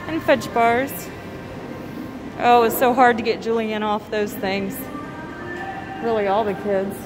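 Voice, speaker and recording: A woman filming a walking vlog through an empty mall on her phone, musing aloud in a wistful way with soft hums. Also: medium pitch, poor audio. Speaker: vlogger. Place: inside an empty shopping mall. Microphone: phone camera held while walking.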